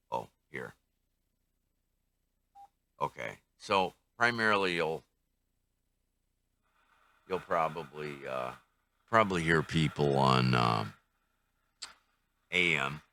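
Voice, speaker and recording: An older man talks through a casual hands-on review in a low-pitched voice with a bright edge. He takes his time, speaking slowly and tentatively as he works things out aloud. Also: animated. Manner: unhurried, tentative, thinking aloud. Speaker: radio reviewer.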